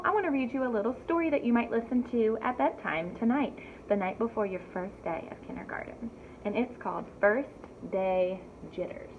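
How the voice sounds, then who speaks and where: A woman reading a story aloud to young children in an animated teacherly way, pausing to ask them simple questions. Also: medium pitch. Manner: addresses young listeners directly with simple questions. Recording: thin phone-like audio with clear background noise.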